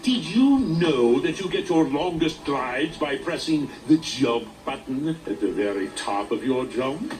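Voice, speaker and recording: A man's voice: mid-range voice, expressive, lightly acted. A man voicing an elderly, jolly dragon who chuckles and gets winded. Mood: cheerful. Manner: slow, chuckling, easily winded. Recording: distant game audio with music.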